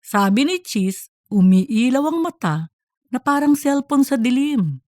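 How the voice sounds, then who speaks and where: A woman's voice: high-pitched, animated, measured pace, darkish tone, no room sound, clean studio sound. A woman narrating a scripted comic audiobook story.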